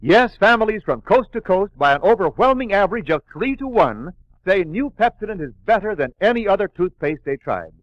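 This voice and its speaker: A man's voice: sits high, big pitch swings, moderate pace. A man announcing in an emphatic, polished 1940s radio commercial style. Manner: emphatic, polished sales delivery. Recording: muffled 1940s radio recording.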